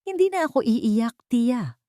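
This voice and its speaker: A woman's voice: a little high, lively intonation, measured pace, dark tone, no echo, pitched above the performer's own voice. Wronged young heroine read by a female narrator, dry sound, cold, steely, set on revenge, storybook drama. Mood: defiant resolve. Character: wronged young woman, coldly resolute and vengeful.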